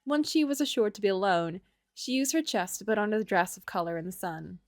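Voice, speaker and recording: A woman's voice: mid-range voice, fairly quick, no room sound, studio quality. A woman livestreamer reading a visual novel aloud, moving between story narration and casual commentary.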